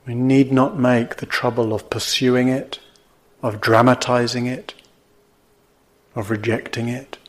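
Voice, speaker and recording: Slow-paced man guiding a meditation. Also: medium pitch, level tone. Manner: gentle, measured, with long pauses between short phrases. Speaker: meditation teacher. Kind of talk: guided meditation.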